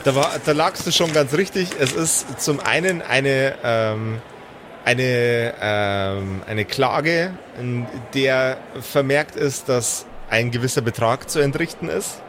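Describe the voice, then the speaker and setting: A man narrating an improvised tabletop game as game master, in measured, searching phrases. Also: mid-range voice, noisy background.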